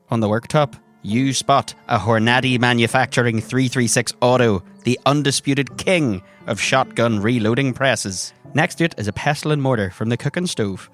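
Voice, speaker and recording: A man's voice: mid-range voice, fairly bright tone. A man working as game master, narrating scenes descriptively for a tabletop role-playing game.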